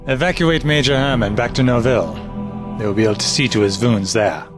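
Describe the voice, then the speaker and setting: An actor playing a stern German officer, clipped and commanding with formal phrasing. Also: male voice, medium pitch, brisk, lightly acted. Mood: cold. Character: stern male German officer, cold and suspicious. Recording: audio drama with music underneath.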